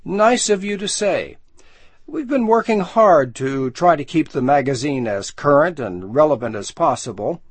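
A man's voice: fairly high pitch, normal speed, breathy edge, dry recording. A man playing a friendly magazine manager who interviews a job candidate in a scripted learner dialogue. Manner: relaxed and friendly, asks questions. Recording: dry studio sound.

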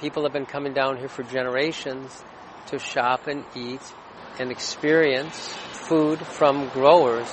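A man's voice: mid-range voice, steady tone, a little slow. A man speaking in interview remarks as a representative of a market. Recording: clear outdoor street noise.

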